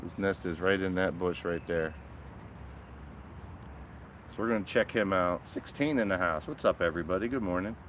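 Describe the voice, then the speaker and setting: Raspy, lowish male host chatting live from a garden, small mic, faint outdoor hum. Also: normal speed, long pauses, a bit bright. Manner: chatty, greets viewers by name. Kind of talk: casual live-stream chat. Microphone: small phone-mounted microphone.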